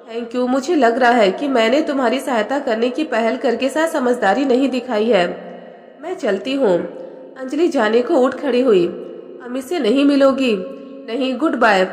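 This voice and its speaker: High-voiced woman reading a story aloud. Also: a little mellow. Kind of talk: scripted story narration. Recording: echoey room with long reverb.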